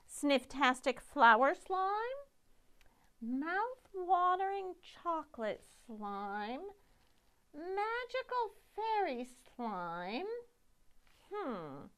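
Children's librarian, female, middle-aged: fairly high voice, very lively read-aloud, dramatic and deliberate. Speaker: children's librarian reading a picture book. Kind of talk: expressive storytime read-aloud.

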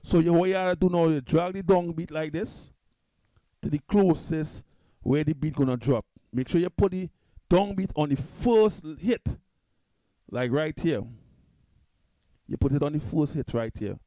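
A man with a Trinidadian accent, talking loosely through a music software tutorial at his computer, repeating himself and restarting often. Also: on the high side, unhurried, pauses often, no room sound. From Trinidad and Tobago. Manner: loose, repetitive, restarts a lot. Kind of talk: casual screen-recorded software tutorial.

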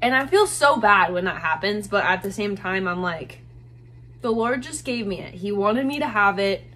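A woman's voice: medium pitch, lively intonation, moderate pace, pushed and bright. A young woman chats straight to a close camera from her bedroom, bubbly and gushing, dropping "like" every few words. A faint hum sits under her voice. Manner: chatty, gushing, many "like" fillers. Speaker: fashion vlogger. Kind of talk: casual thrift haul talk to camera. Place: small bedroom with bed, indoors.

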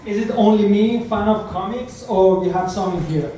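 A young man from Iran with a Persian accent, performing an animated stand-up comedy set on a small club stage, speaking into a handheld mic in slightly broken English. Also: high-pitched, normal speed, a crowd in the background. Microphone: handheld mic, heard from a far camera. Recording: echoey club sound from far off.

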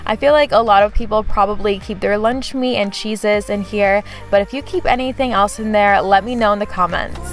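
A woman's voice: medium pitch, brisk, hardly any pauses, faint music behind. A woman vlogger chatting casually in a voice-over about her kitchen, with frequent "like" fillers. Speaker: home vlogger.

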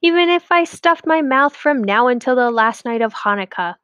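A woman's voice: high voice, lively intonation, moderate pace, a bit bright, smooth, no echo, muffled recording, voice pushed up. A woman voicing a confident, sage village rabbi from a storybook, pronouncing advice with assurance.

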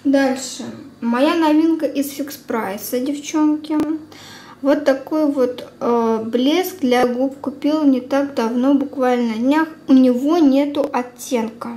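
A young woman chatting casually through a product review as a beauty vlogger, informal and unhurried, thinking aloud. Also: sits high, warm tone. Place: indoors at a table at home. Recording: clear background room noise.

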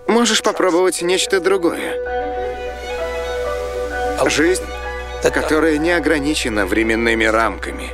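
A man playing a mysterious figure in an animated drama. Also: fairly high pitch, unhurried, pauses often, music in the background. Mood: mysterious, inviting.

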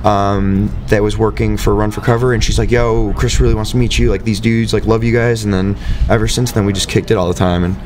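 A young man with a fairly low voice talks through his answers casually, circling back with "you know" and "like." He sounds like he's on a couch in a living room, picked up by a camera mic with faint ambient noise behind him. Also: brisk. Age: young adult. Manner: rambling, relaxed, many fillers. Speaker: band member. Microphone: far camera mic.

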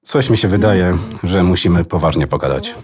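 A woman voicing an earnest, excitable computer data keeper in a children's audio drama, expressive in manner. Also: very deep voice, normal speed. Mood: earnest, calm.

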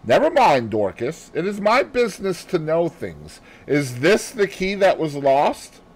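A man voicing a calm, self-assured, probing detective in an audiobook, with a businesslike, deliberate manner. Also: on the high side, husky, voice pushed up, exaggerated voice. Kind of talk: audiobook character voice.

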